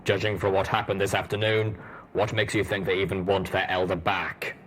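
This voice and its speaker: A man voicing a gruff, blunt, shrewd armored soldier stallion in an audio drama. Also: sits low, flat delivery, moderate pace, a bit bright, slight background noise. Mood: grim.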